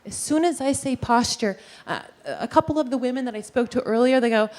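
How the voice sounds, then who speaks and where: A woman giving a lively presentation on posture and breathing to a live audience in a meeting room, guiding them through movements. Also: fairly high pitch, a little fast. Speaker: posture and breathing teacher giving a workplace talk.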